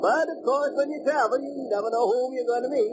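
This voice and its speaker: Casting: fussy, pompous businessman traveler, high-pitched, old radio drama, muffled and noisy. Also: quick pace. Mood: eager, self-important. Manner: pompous, fussy, repeating himself. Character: chatty, self-important traveling finance company president. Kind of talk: 1940s radio acting.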